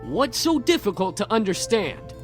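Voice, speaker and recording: A tough bad-boy demon from a visual novel. He is blunt and irritable, with a fairly high voice that swings in pitch over the music. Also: sing-song, moderate pace. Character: gruff, tough bad-boy young male incubus, irritable. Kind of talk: visual novel game voice acting. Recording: game audio with music underneath.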